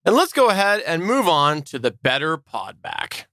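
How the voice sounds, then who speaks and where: A man's voice: fairly high pitch, sing-song, normal speed, pushed and bright. A man chatting casually as the co-host of a podcast.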